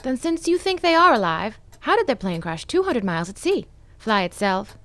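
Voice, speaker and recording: A woman's voice: a little high, big pitch swings, moderate pace, a bit bright. An actress playing a detective's inquisitive, skeptical lady companion in an old-time radio drama.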